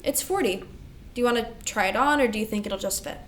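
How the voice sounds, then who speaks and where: Woman as teasing, high-voiced teenage big sister. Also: fast, a bit bright, a little hiss or hum, lightly acted. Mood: affectionate, playful. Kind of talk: staged reading of a play.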